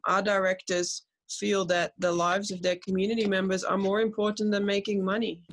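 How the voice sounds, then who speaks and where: A woman giving spontaneous interview answers as a community spokesperson, with hesitant, halting phrasing. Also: medium pitch, little pitch movement.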